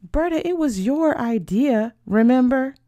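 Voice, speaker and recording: A woman reading the part of a playful, bold teenage girl in a storybook, teasing and animated. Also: fairly high pitch, normal speed, dry recording, studio quality.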